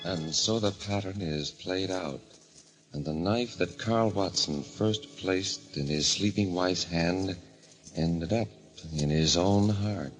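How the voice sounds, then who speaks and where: An actor playing a grim, portentous host narrating a 1940s radio mystery in a measured, theatrical manner. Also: male voice, low voice. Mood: grimly satisfied. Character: grim, ominous narrator of a horror series. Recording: loud music on old radio broadcast.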